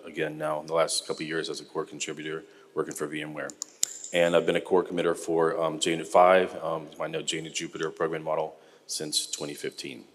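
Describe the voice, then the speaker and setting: Middle-aged American man, low register, easygoing conference speaker, big-room reverb. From United States. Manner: relaxed, conversational, frequent ums, polls the audience. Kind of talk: technical conference talk. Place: large conference hall.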